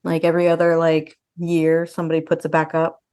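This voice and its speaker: Casting: female podcast co-host, lowish register, loose conversational delivery, informal news chat. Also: completely flat delivery, fairly quick, dark tone, clear, resonant tone. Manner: conversational, peppered with "like". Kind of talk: casual chat about horror news.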